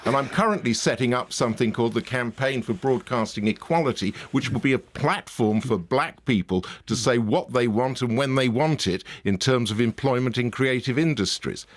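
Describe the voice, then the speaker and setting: A man with a mid-range voice making his case as a guest in a radio studio. He speaks loudly and firmly, pressing his points hard. The studio microphone keeps his voice close and dry. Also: medium pitch, normal speed, few pauses, dry recording. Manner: firm, loud, argumentative. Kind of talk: radio discussion.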